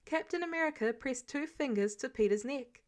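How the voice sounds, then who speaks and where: Higher-pitched woman narrating a story expressively. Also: lively intonation, normal speed. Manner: acts out dialogue. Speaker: audiobook narrator of fan fiction.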